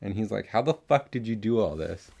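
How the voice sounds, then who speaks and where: A man's voice: on the low side, expressive, a little fast, creaky, mellow, husky. A young man giving a casual, rambling recap as a podcast host, swearing freely as he goes. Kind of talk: casual chatty recap of an animated series.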